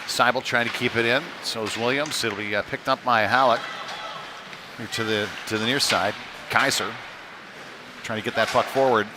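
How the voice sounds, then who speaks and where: A man's voice: medium pitch, fairly even intonation, normal speed, long pauses. A man giving live play-by-play of a youth ice hockey game in short, clipped phrases that trail into asides. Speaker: play-by-play commentator. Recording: noisy rink with ambient clatter behind. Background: rink game noise.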